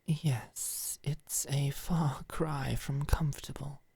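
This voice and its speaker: A woman playing a proud, tender lady knight, speaking in a hushed, breathy, intimate and restrained way in an ASMR medieval roleplay. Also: rumbling low, steady tone, takes it slowly, no room sound, full character voice. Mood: tender, apologetic.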